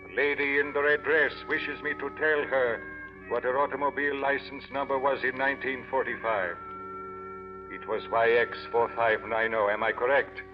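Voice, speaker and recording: An actor playing a solemn old swami in a 1940s radio mystery, speaking formal, grandiose lines. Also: male voice, medium pitch, flat delivery, moderate pace, lightly acted. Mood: grandly mystical. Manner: formal, grandiose, stilted phrasing. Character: solemn old Indian swami mystic with mind-reading powers. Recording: dull vintage radio recording with music.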